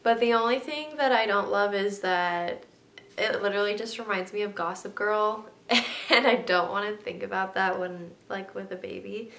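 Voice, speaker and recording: A woman's voice: normal speed, gravelly, fairly bright tone. A young woman vlogger with a stuffy, congested nose, chatting casually in a sit-down video and filling her talk with ums and likes. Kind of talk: casual sit-down vlog chat. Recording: echoey room, faint rumble.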